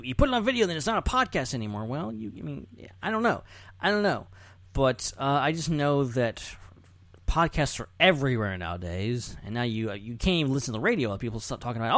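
A man's voice: mid-range voice, a bit bright, dry recording. A man hosting a podcast in casual, informal chat, repeating short phrases for emphasis. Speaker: podcast host.

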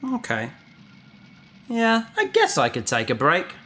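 Man playing a bright-voiced, hesitant space traveller. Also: sits high, huge pitch swings, unhurried, a little hiss or hum, heavily acted. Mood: subdued. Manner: hesitant, trailing off. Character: distracted, preoccupied space traveller, gently stubborn. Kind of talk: naturalistic sci-fi audio drama dialogue.

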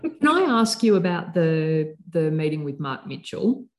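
A woman's voice: deep voice, very animated, unhurried. A woman interviewing a guest, probing and rephrasing her questions as she goes. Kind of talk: conversational interview.

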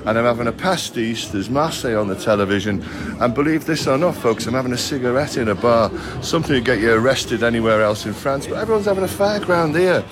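A man's voice: medium pitch, expressive, fast talker, crowd noise. A middle-aged man from Liverpool with a Scouse accent, presenting a travel documentary in a chatty way that talks straight to the viewers. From Liverpool, England. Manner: chatty, direct address to viewers.

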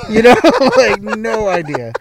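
A man's voice: high voice, sing-song, takes it slowly, vocal fry, a loud crowd, poor audio. A man hosting a casual interview, asking loose questions and laughing easily along with his guest. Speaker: podcast host.

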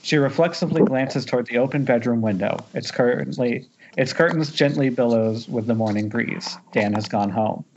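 A man's voice: medium pitch, normal speed, few pauses, a little mellow. Man narrating a screenplay, muffled noisy call. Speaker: narrator reading screenplay directions. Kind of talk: informal table read narration. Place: remote group Skype call. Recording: dull, noisy internet call.

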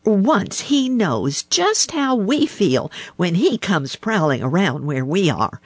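Eager storybook otter, male, cocky, high and very animated, done by a narrator. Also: slow pace, breathy edge, dry recording, exaggerated voice. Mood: triumphant. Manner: excited, insistent. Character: excited, cocky young male otter with news.

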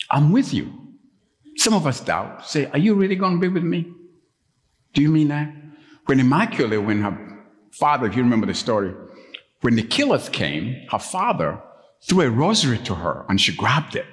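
A middle-aged man from Uganda preaching a sermon as pastor, telling stories and jumping up to high, emphatic peaks when stirred. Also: big pitch swings, moderate pace. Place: church. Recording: long reverb of a church.

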